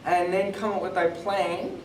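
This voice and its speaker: High-pitched young man in a roomy, noisy recording. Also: steady tone, normal speed. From born in Thailand, moved to Australia. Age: 20s. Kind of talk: personal talk on a live panel podcast. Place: conference room, live event. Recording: roomy, distant mic, clear background noise.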